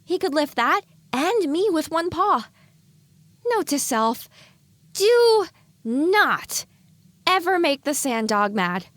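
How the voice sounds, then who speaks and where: A woman's voice: high-pitched, big pitch swings, takes it slowly, long pauses, a bit bright, no echo, voice pushed up, full character voice. A woman voicing the inner thoughts of an anxious, earnest young female pony in an audio drama. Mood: bewildered. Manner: earnest, worried self-talk.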